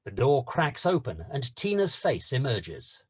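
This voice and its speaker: A man's voice: medium pitch, huge pitch swings, unhurried, breathy edge. A man reading stage directions as narrator in a scripted screenplay table read.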